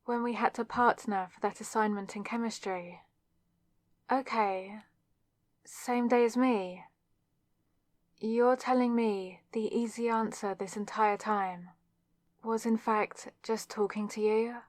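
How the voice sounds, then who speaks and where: A woman's voice: fairly high pitch, steady tone, takes it slowly, long pauses, no room sound. A young woman playing a flustered, awkward student witch with a wry, self-deprecating manner in an ASMR roleplay, speaking straight to the listener. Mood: surprised, incredulous. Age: young adult. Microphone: close microphone in a dry space.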